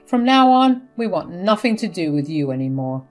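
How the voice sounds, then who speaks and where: A woman's voice: lowish voice, very animated, moderate pace. A woman voicing a fed-up adult son who refuses his mother bluntly and firmly, over faint music.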